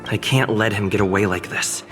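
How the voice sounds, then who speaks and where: A man plays a video game investigator thinking to himself, dry and matter-of-fact. His voice is on the low side, over clearly audible music. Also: moderate pace, lightly acted. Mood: focused.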